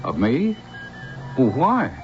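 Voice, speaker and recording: A man's voice: medium pitch, wildly swooping pitch, takes it slowly, lo-fi sound, over music. An actor playing a polite, hesitant, guileless male humanoid robot in a radio drama. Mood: bewildered, eager. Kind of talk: 1970s radio drama acting. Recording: noisy, band-limited old radio broadcast.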